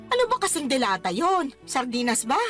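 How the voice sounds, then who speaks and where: A woman's voice: high voice, wildly swooping pitch, brisk, a bit bright, full character voice. A woman acting a fond middle-aged mother who teases and scolds in an exclamatory way in a radio drama. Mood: curious. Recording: music under the voice. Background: music.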